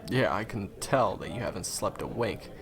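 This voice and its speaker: A man's voice: lowish voice, expressive, normal speed. A man playing a friendly, chatty guide to monster hunters in an audio roleplay, explaining things casually and reassuringly straight to the listener. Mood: caring, friendly. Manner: casual, hesitant asides, explanatory. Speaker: voice roleplay performer. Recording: crowd chatter behind the voice. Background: busy crowd ambience.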